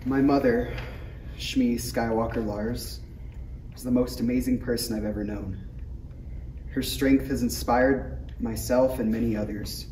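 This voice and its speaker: A man's voice: medium pitch, steady tone, a little slow, pauses often, natural voice. A man playing an emotional, bumbling young Jedi apprentice in an earnest, dramatic parody table read. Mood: mournful. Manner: earnest, swings from murmuring to shouting. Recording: echoey room, distant mic, faint noise.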